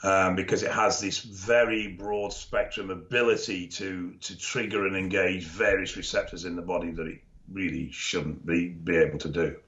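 A man co-hosting a podcast, explaining things in a knowledgeable, measured way with dry humour and frequent ums. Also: low voice, fairly even intonation, a bit bright. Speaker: expert co-host. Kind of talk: casual podcast chat, explaining. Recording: dull lo-fi audio.

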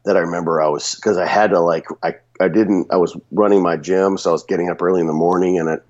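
Deep raspy guy with a cold, rambling podcast chat, kinda muffled recording. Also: fairly even intonation, quick pace, a little mellow. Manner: rambling, many restarts and fillers. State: sick with a runny nose.

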